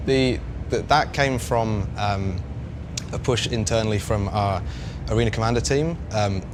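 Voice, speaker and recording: Casting: low-pitched male developer, informal and tentative explainer, noticeable vehicle ambience behind him. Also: unhurried, background noise. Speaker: game developer guest answering questions. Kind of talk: casual explanatory talk.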